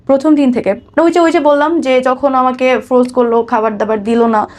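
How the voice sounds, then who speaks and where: Higher-voiced Bangladeshi woman recounting her life. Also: fairly even intonation, normal speed, a little mellow. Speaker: guest telling her life story. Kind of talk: personal testimony in an interview.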